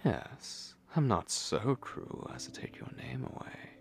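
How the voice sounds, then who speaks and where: A man playing a possessive, commanding vampire lord in an ASMR roleplay, speaking in breathy, gravelly whispers with slow, menacing condescension. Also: medium pitch, expressive, soft background music. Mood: possessive, condescending. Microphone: close microphone.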